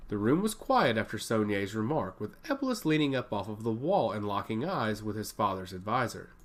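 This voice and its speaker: A man's voice: on the low side, sing-song, a little fast. A man reading scripted narration of a fantasy story.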